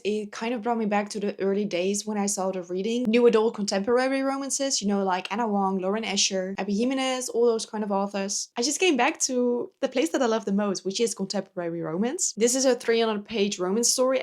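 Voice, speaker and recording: A woman in her twenties from the Netherlands, whose first language is Dutch, talks through her monthly reads. Her delivery is chatty and enthusiastic, sprinkled with laughs, and at times she drops into soft, quiet asides. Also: medium pitch. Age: 20s. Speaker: book vlogger. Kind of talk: casual book review chat.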